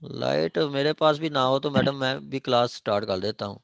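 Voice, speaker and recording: Male law lecturer, Pakistani accent, medium pitch, explanatory with regular check-ins, dry close sound. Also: moderate pace, gravelly, fairly bright tone, no room sound, highs cut off. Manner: explanatory, with frequent check-in tags and examples. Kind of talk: online law lecture, mixing Urdu with English legal terms.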